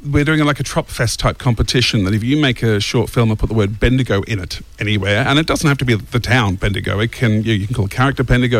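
A man's voice: medium pitch, no echo, poor audio. An Australian man chatting casually as a radio interview guest, with a wry, self-mocking way of talking. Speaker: interview guest, creator of an audio comedy drama.

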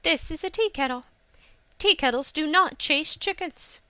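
A woman's voice: sits high, animated, moderate pace, no echo. A woman voicing a feisty, scolding young tea-house woman, indignant and emphatic, in a storybook reading. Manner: indignant, emphatic, sharp-tongued.

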